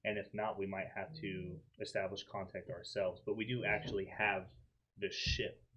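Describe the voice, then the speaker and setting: A man playing a tabletop role-playing game, talking through options in a measured, deliberative way. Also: deep voice, little pitch movement, fairly bright tone, lo-fi sound. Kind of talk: in-character tabletop discussion.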